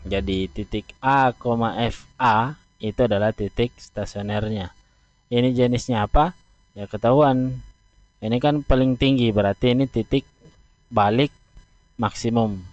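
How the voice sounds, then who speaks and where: A man teaching math, talking through a graph step by step with slow, deliberate pauses. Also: medium pitch, a little mellow, no echo. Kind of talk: explaining at a board. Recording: dull, dry lo-fi recording.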